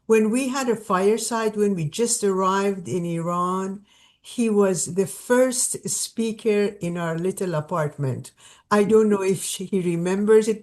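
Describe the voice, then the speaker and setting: A woman's voice: mid-range voice, darkish tone. A woman hosting an online gathering over a video call, welcoming people and introducing a guest, with many fillers and restarts. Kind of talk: warm spontaneous welcome and introduction.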